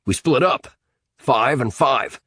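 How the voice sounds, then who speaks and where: A man's voice: mid-range voice, lively intonation, slow pace, sharp tone, breathy edge, no room sound, studio quality, voice pushed up, exaggerated voice. A man voicing a big, suspicious, confrontational teenage boy in an adventure audiobook, blunt and scoffing. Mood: explaining.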